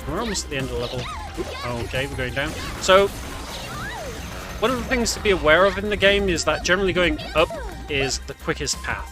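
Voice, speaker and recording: A man's voice: a little high, lively intonation, fairly quick. A man giving casual, explanatory commentary on a video game walkthrough, talking the viewer through as "we". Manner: explanatory, speaking as "we". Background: game music.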